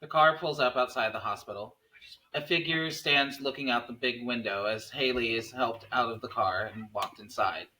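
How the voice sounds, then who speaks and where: A man's voice: mid-range voice, moderate pace, sharp tone, rough recording. A man reading stage directions aloud as the narrator of a table read.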